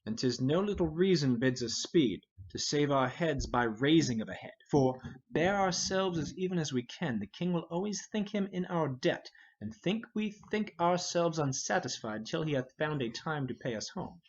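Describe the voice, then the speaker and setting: Man performing a scheming older noble, husky, deliberate, formal Shakespearean verse, slightly dull recording. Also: on the high side, animated. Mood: grave. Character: measured, scheming older noble uncle, a conspirator. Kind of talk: dramatic reading of a Shakespeare play.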